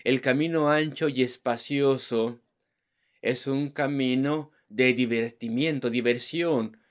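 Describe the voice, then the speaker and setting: A man's voice: medium pitch, fairly even intonation, slow pace. An emphatic male preacher. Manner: emphatic, repeats words in lists, asks rhetorical questions. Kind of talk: evangelistic sermon.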